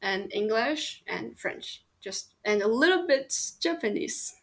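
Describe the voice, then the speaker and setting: A woman's voice: fairly high pitch, expressive. Earnest young Chinese woman, hesitant. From China. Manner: earnest, hesitant with many pauses and fillers. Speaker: guest. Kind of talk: interview answers.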